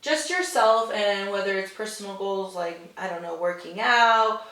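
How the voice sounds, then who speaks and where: A woman's voice: mid-range voice, moderate pace, a bit bright. A young woman hosting a casual craft-show chat, encouraging and laughing often as she talks. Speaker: host. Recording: echoey room, distant mic.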